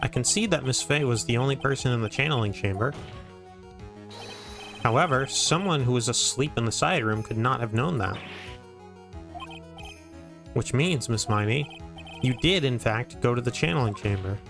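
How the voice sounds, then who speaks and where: A man voicing an earnest, determined young defense lawyer in an amateur courtroom game fan dub, with a deliberate, accusing manner. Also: mid-range voice, lively intonation, creaky, a bit bright, soft background music. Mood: methodical, pressing.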